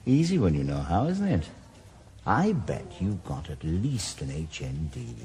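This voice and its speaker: A man's voice: deep voice, very animated, measured pace, warm tone, full character voice. A man playing a retired ex-detective with a Welsh accent, speaking with a dry, wry sarcasm. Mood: joking. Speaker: lead actor. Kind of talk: 1980s radio drama acting. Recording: noisy location recording.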